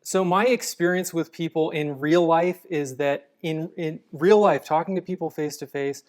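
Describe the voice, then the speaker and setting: Measured male guest, smooth and clear, patient explainer. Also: on the high side. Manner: calm, measured, explanatory with frequent restarts. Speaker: apologetics guest. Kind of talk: conversational interview answers.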